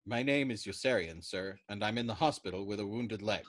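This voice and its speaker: A man's voice: on the low side, fairly quick, fairly bright tone. A man voicing a wary, reasonable airman in an audiobook, diplomatic but exasperated by the madness around him. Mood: timid, diplomatic. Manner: timid and diplomatic, snapping when pushed.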